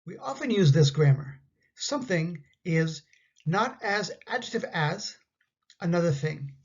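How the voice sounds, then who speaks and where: A Canadian man with a husky, breathy voice reads out a grammar lesson for learners of English, spacing each example sentence out. The recording has a slightly dull, muffled sound. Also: sits high, animated. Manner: slow, carefully enunciated example sentences. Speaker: English teacher.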